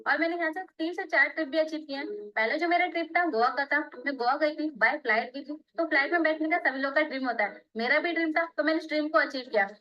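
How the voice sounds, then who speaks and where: A woman's voice: sits high, fast talker, dull audio. A woman giving an eager, upbeat promotional testimonial. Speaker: customer in a testimonial.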